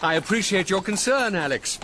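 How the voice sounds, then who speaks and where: An actor playing a worldly, urbane army officer and military secretary in a period radio drama, wry and well-spoken. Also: male voice, high voice, animated, fairly quick. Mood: wry, gossipy. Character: Fitzroy Somerset, worldly, gossipy gentleman officer, military secretary. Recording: noisy outdoor scene with horses. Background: horses clip-clopping.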